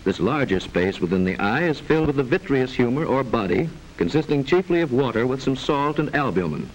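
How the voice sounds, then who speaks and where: A man narrating a 1940s educational film in a formal, measured, didactic manner, reading from a script. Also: medium pitch, big pitch swings, muffled recording. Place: voice-over narration recording. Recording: noisy vintage 1940s film soundtrack.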